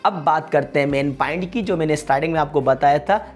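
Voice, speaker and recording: A man teaching a beginner English grammar lesson for an online channel, talking earnestly to viewers and repeating each point, and slowing down when he reads English definitions. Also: on the high side. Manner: earnest and repetitive, restates points and keeps calling out to viewers.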